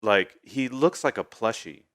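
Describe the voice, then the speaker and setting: Low, clean-voiced man in relaxed review chat. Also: measured pace, dry recording, studio quality. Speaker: co-host of a video game review show. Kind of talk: casual film review chat.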